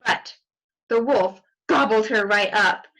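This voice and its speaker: A woman's voice: medium pitch, slow pace, a bit bright. A woman reading a children's story aloud expressively, with asides to young listeners. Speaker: storybook reader.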